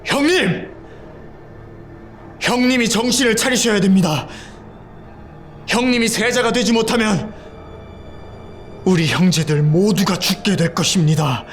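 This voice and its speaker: An actor playing an ambitious young prince, intense and bitter, in an audio drama. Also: male voice, sits high, a little slow, pauses often, fairly bright tone, soft background music. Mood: urgent. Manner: intense, pleading then defiant.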